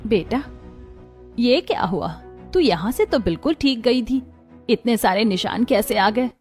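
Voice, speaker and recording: A woman's voice: on the high side, lively intonation, a little slow, darkish tone, soft background music. Woman acting a protective middle-aged mother-in-law. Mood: concerned, determined. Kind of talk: Hindi cartoon story acting.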